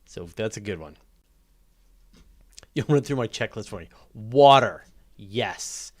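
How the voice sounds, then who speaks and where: A man's voice: fairly low pitch, lively intonation. Rambling man chatting casually on a livestream. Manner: halting and rambling, often restarting phrases. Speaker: host of a live astronomy Q&A stream. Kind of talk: casual, unscripted livestream chat.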